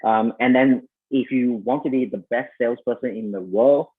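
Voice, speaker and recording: A man's voice: medium pitch, moderate pace, slightly rough, narrow, tinny audio. A man with a Malaysian accent giving a conversational sales coaching talk at an online summit, full of fillers and talking straight to his listeners. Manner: conversational, many fillers, addresses listeners as "you guys".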